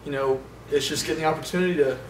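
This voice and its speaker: A young college quarterback speaks at a postgame press conference, halting, with many fillers. His pitch sits a little high but barely moves. The microphones are set back, so the voice sounds distant and roomy with faint noise. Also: steady tone. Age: young adult. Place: indoor press room in front of a sponsor backdrop. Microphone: table microphones from a short distance.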